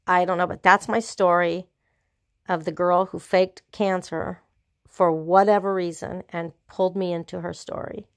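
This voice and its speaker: A woman's voice: on the low side, level tone, no room sound. Congested middle-aged woman, dry close sound. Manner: rambling, earnest, with restarts and trailing pauses. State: sick with a cold, congested and sore-throated. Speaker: vlogger. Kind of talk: casual storytelling to camera.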